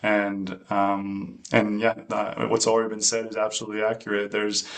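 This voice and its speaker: Man, low even voice, halting speech, panel discussion. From United States. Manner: hesitant, many fillers and restarts. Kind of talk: webinar panel remarks.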